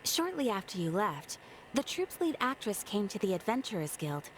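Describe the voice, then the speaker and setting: Woman playing a lively, polite guild receptionist. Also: mid-range voice, normal speed, a little hiss or hum, lightly acted, poor audio. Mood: polite, brisk. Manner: courteous, businesslike. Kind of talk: video game voice acting.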